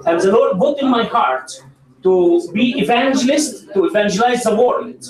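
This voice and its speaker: An evangelist man giving a conference testimony, halting with many fillers and restarts. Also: high-pitched. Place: large conference hall. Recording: roomy hall with crowd, distant mic.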